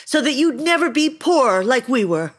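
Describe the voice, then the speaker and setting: A woman acting a blunt, judgmental middle-aged mother in a comedic audio play, brisk and scolding. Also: high voice, sing-song.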